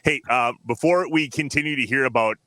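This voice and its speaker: A man's voice: mid-range voice, brisk, bright, forceful tone, dry recording, low-quality recording. A man hosting a podcast in a casual, informal and breezy way. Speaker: podcast host.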